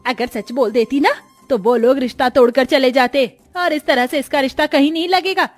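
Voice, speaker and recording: A woman voicing a sharp-tongued, exasperated poor mother who nags in a Hindi cartoon moral story. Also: high voice, normal speed, no room sound, faint music behind, natural voice. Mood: defensive. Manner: nagging, exasperated.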